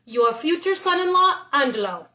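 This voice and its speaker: A woman voicing an eager, confident, wealthy young suitor in a storybook, loud and declarative. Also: high-pitched, sing-song, moderate pace, exaggerated voice. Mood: promising.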